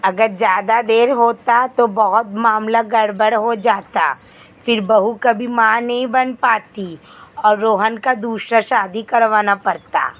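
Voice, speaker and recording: A woman acts out an old-fashioned Indian mother-in-law for an animated moral tale. Her voice sits fairly high and moves at a slow, drawn-out pace. She nags and scolds theatrically, demanding to be obeyed. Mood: smug, defensive. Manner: scolding, dramatic exclamations. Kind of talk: scripted animated moral story dialogue.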